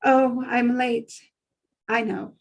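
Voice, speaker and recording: A woman's voice: on the high side, level tone, drawn-out words, creaky, recorded from a distance, full character voice. A woman reading the part of a nervous, well-meaning church worker in her thirties in a screenplay table read. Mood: nervous, flustered.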